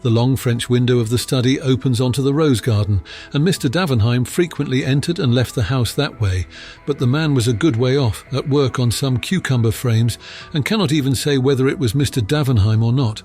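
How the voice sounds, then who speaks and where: A man's voice: medium pitch, a little fast, hardly any pauses, a little mellow, soft background music, voice pushed up, lightly acted. A man voicing a blunt, confident Scotland Yard inspector in an audiobook, speaking in a matter-of-fact, colloquial way. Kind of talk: acted audiobook dialogue.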